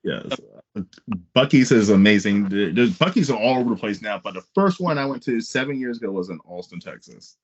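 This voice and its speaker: A man's voice: fairly low pitch, expressive, a little fast, lo-fi sound. An American man hosting a casual interview, speaking with enthusiasm and repeating phrases for emphasis. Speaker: podcast host interviewer.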